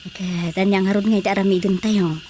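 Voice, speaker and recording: An actress playing a gossipy, teasing village council colleague in a radio drama comedy, with a Filipino accent. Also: female voice, medium pitch, steady tone, quick pace, mellow, lightly acted. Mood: chatty, teasing. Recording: faint background noise.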